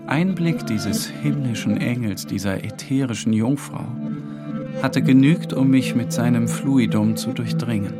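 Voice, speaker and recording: Male narrator, very slow delivery, solemn, dramatic pauses, literary audiobook, clear music underneath. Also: medium pitch. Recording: music behind the voice.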